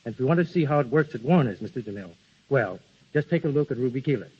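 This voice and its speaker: A man's voice: medium pitch, expressive, fast, very noisy. A man giving a scripted guest endorsement on 1930s radio. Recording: muffled vintage 1930s radio broadcast.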